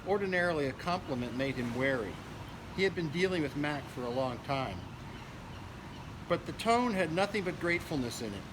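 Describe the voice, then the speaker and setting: A man's voice: a little high, lively intonation, fairly quick. A man reading a novel aloud as a volunteer reader, now and then stumbling over a word. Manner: occasional stumbles over words. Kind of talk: audiobook-style reading of a novel. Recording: noisy outdoor ambience with vehicles.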